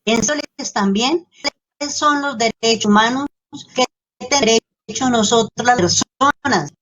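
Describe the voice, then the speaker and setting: A woman's voice: on the high side, normal speed, a bit bright, poor audio. A woman giving personal testimony earnestly, in simple, broken phrases. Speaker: guest giving testimony, human rights worker.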